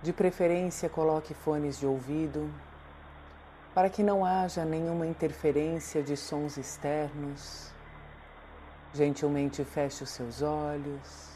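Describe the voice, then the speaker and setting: Very slow, low-voiced Brazilian woman guiding meditation. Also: steady tone, mellow, a little hiss or hum. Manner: soothing, deliberate, with long pauses. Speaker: guided meditation host. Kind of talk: guided meditation narration. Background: meditation music.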